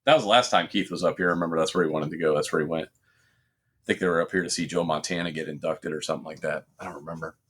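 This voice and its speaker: A man's voice: very deep voice, fast talker, slight background noise. A middle-aged man chatting casually as a podcast co-host, rambling and swearing freely as he tells stories. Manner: rambling, profane, animated storyteller. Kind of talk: casual chat with friends.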